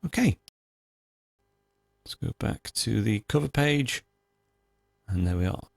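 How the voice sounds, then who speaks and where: Guy doing an app walkthrough, kinda deep creaky voice, informal step by step explaining, really dry sound. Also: expressive, takes it slowly, no room sound. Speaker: host of a software tutorial show. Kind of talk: screencast tutorial, unscripted walkthrough.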